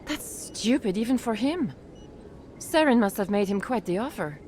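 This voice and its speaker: A woman's voice: fairly high pitch, expressive, moderate pace, a little mellow, natural voice. A woman voicing a forthright female team member in a sci-fi video game, with ambient noise behind her. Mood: serious, frank.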